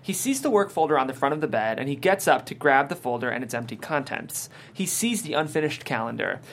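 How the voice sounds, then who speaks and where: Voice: mid-range voice, very animated, a little fast, creaky, fairly bright tone. A narrator reading screenplay stage directions and scene headings in scripted narration.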